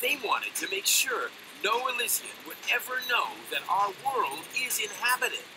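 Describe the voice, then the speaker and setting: A man's voice: high voice, expressive, moderate pace, noisy background. A man voicing a boastful young adventurer in a cartoon game, telling his tale with theatrical self-importance. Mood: grandly dramatic. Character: brash, boastful young male adventurer narrating his own heroic tale.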